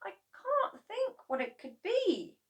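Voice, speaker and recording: A woman voicing an earnest, anxious young boy with a squeaky voice in a storybook reading. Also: sing-song, slow pace, far from the mic, heavily acted. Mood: worried.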